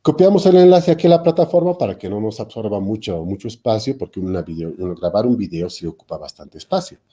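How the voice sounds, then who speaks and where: A man speaks as a lecturer on a video call, his voice sitting somewhat low and sounding very dark and mellow. He explains at his own pace but stumbles often, filling gaps with "eh" and correcting himself mid-sentence. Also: lively intonation, fast talker. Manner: hesitant, frequent "eh" fillers and self-corrections. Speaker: technical institute lecturer. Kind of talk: panel talk explaining online teaching.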